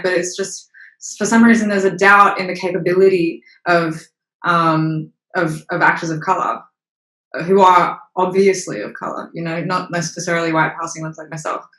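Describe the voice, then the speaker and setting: A young actress giving a candid interview, speaking frankly with many fillers and restarts, now and then swearing. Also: female voice, lowish voice, a bit bright. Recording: roomy echo.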